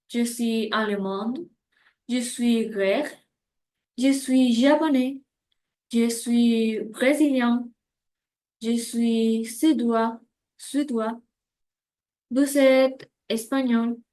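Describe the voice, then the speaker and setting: A woman teaching French grammar, slowly reading out example phrases in a scripted lesson. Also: on the high side, darkish tone.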